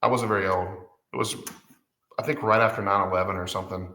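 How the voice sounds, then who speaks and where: A man's voice: low-pitched, fairly even intonation, fast talker, sharp tone, echoey room. A man in his forties, a guest musician, talking casually and hesitantly with many fillers and restarts. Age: 40s. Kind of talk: casual conversation.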